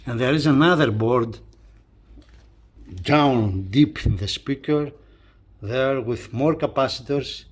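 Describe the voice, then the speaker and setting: Slow-paced man giving a halting product walkthrough. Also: medium pitch. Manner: halting, repeats phrases as he points things out. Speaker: hi-fi reviewer presenting a loudspeaker. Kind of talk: casual hands-on video commentary.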